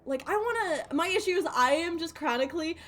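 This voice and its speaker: A woman's voice: very high voice, animated, a little fast, fairly bright tone. A woman live-streaming a game, chatting casually in a rambling, sweary, giggly way.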